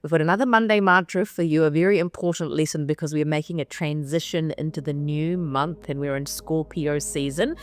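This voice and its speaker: A woman's voice: deep voice, a little fast, hardly any pauses, no room sound, clean studio sound. A woman hosting a weekly spiritual mantra talk in a casual, meandering way. She sounds slightly nasal and sniffly from allergies and repeats phrases for emphasis. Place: on the road while traveling.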